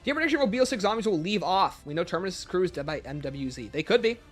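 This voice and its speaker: A man with a fairly high voice talks casually as a livestream host. His pitch moves around a lot as he fields questions in an offhand way, with faint music playing behind him. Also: very animated, very fast. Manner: reads questions aloud, then answers offhand. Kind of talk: casual livestream chat answering viewer questions.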